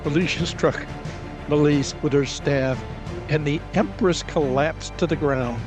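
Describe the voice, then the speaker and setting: A man's voice: on the high side, fairly even intonation, unhurried. A man narrating a scripted fantasy adventure in a dramatic storytelling style. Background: cinematic music.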